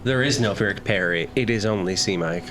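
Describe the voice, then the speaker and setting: A man's voice: on the low side, moderate pace, noisy background. A man playing a tabletop role-playing game, talking in casual slang with music in the background. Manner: informal, slangy.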